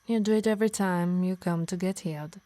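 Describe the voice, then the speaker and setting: A woman's voice: on the low side, fairly even intonation, a little fast, dark tone, dry recording, lightly acted. A woman playing a possessive, teasing harpy healer who scolds fondly in an ASMR roleplay. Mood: exasperated, scolding. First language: Italian.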